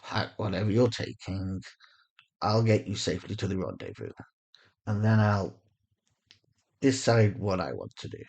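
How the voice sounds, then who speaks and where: A performer voicing a weary, resigned male interrogator with quiet menace, speaking in a measured, understated way in an audio drama. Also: low-pitched, expressive, gravelly, husky, exaggerated voice. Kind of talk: acted audio fiction.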